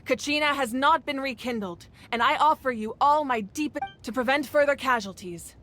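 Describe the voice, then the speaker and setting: A calm, commanding female ruler. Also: high-pitched, a little hiss or hum. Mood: firm. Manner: calm, measured, authoritative. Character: commanding yet gentle female ruler of a nation. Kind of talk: game voice acting.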